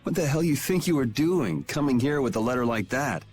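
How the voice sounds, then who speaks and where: A man's voice: medium pitch, expressive, a little fast, a little mellow, lightly acted. An actor playing a cool, sardonic young swordsman in a video game, talking in a dry, casual way. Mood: dry, challenging. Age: young adult. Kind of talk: dramatic video game voice acting. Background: background music.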